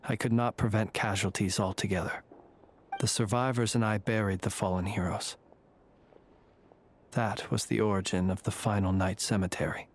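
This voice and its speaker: An actor playing a calm, ancient, world-weary fae warrior in a video game, with measured, formal, reflective phrasing. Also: male voice, fairly low pitch, a little hiss or hum, close to an everyday voice. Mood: grave, measured.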